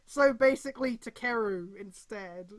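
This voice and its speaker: A woman's voice: a little high, unhurried, rough recording. A woman casually reading a story aloud on a stream, breaking off for irreverent, sweary commentary.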